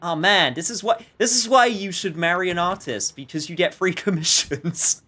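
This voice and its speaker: A man with a somewhat high-pitched voice talks casually over a game on stream. He rambles from one thought to the next and tosses in joking asides. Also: normal speed, bright, forceful tone. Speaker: livestreamer.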